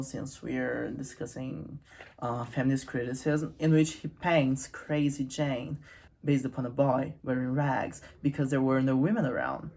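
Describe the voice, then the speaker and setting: A woman's voice: low-pitched, darkish tone. A woman hosting a casual, humorous literary commentary, with wry, irreverent asides in a conversational way. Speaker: host of a literary channel.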